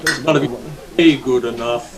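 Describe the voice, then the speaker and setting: An actor playing a sneering, taunting town bully in a vintage radio Western, with a mocking drawl. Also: male voice, mid-range voice, fairly even intonation, normal speed, lightly acted.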